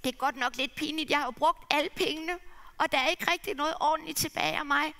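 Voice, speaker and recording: A woman's voice: high-pitched, pushed and bright, big-room sound. A woman voicing a lost, ashamed young man who has come home to his father. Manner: despairing, self-pitying.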